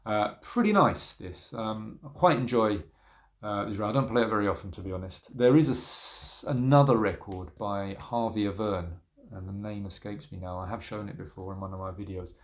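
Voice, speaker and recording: A man talks through his vinyl in a relaxed, meandering way. His voice sits on the low side, and his sentences trail off into ums and little asides. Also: moderate pace. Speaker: record collector showing vinyl. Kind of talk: casual spoken video review.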